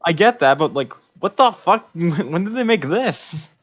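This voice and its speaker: A man's voice: fairly high pitch, lively intonation, a little slow. A man co-hosting a podcast in casual, informal chat with loose swearing, on a dull microphone. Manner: profane, informal. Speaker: podcast co-host.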